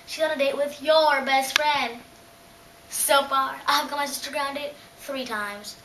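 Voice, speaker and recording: A woman's voice: high voice, fairly even intonation, a little slow. A ten-year-old girl playing a gleefully bratty, teasing little sister in a comic stage monologue. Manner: gloating, exaggerated, playful. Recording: echoey hissy room, distant mic.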